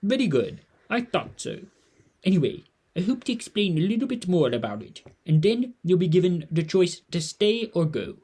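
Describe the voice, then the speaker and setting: An actor playing a kindly, earnest old mentor in a family audio drama, speaking in formal, deliberate phrases. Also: mid-range voice, sing-song, a little mellow, breathy edge. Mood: earnest, measured.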